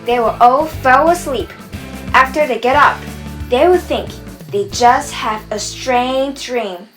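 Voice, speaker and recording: A woman plays a mischievous fairy of the forest in a radio play. The voice is high and girlish, with clear music playing behind it. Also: slow pace. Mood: brisk, pleased. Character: mischievous fairy trickster setting things right. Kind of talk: amateur radio drama acting.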